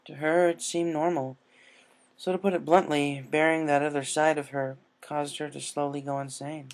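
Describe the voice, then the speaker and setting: A narrator voicing a tender, regal young female alicorn princess in a gentle, formal, measured way for a story reading. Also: medium pitch, little pitch movement, pitched above the performer's own voice, lightly acted. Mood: calm, explanatory.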